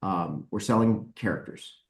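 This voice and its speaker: A man with a fairly low voice answers interview questions in a casual way. He is blunt and explains his reasoning as he goes. The sound is very roomy and echoey. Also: measured pace. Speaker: guest, book publisher.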